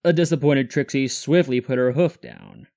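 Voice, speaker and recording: A man's voice: medium pitch, huge pitch swings, normal speed, gravelly, darkish tone. A male narrator reads a scripted story for an audio drama. He tells it in the third person, with music playing behind his voice.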